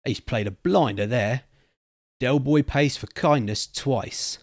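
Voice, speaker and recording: A man's voice: mid-range voice, takes it slowly, no room sound. Man acting old man, Cockney, pub storyteller, emphatic, slangy, opinionated, storybook reading, dry sound. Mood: knowing.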